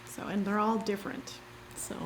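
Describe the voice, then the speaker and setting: A woman's voice: mid-range voice, little pitch movement, moderate pace, a little hiss or hum, poor audio. A woman, an amateur writer reading and introducing her own work aloud to a group.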